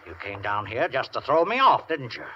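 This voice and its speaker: A man's voice: medium pitch, big pitch swings, fairly quick, sharp tone. An actor playing a sly, menacing older uncle, a carnival man with a folksy, falsely affectionate manner, in an old-time radio thriller. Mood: sly menace. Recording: dull, noisy old radio recording.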